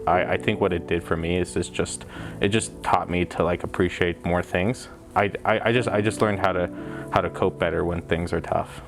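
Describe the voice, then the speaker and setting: A young man, a film student, speaking haltingly and repeating words as he answers interview questions. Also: low voice, steady tone. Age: young adult. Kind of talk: documentary interview. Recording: music bed under the voice.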